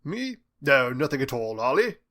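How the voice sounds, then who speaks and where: A man voicing a suave, smug math teacher for a storybook, smooth and polite, with a British accent. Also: medium pitch, big pitch swings, moderate pace, bright, forceful tone. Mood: smug, breezy. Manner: smooth, polite, drily self-satisfied.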